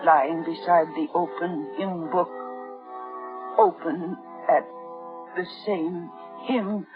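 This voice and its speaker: A woman's voice: fairly low pitch, fairly even intonation, slow pace. A woman acting a terrified young bride trapped by a mad husband in a 1950s radio thriller, breathless and dramatic with dread. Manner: breathless, dramatic diary narration with trembling dread. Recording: dull vintage radio recording, loud music behind.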